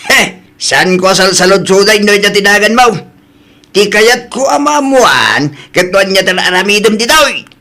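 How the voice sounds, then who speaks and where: A man acts out an unwelcoming old villager in an audio drama. His voice sits high and has a sharp, forceful edge. He talks curtly, as if ready to pick a fight with whoever comes near. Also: expressive, normal speed, heavily acted. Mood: gruff, hostile. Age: older. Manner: curt, confrontational. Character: gruff, hostile old man, unwelcoming neighbour. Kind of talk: Ilocano audio drama acting.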